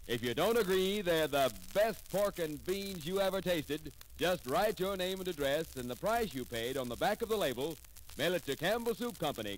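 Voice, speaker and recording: A man announcing an old-time radio ad offer in a confident, measured way. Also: high-pitched, a little hiss or hum, poor audio.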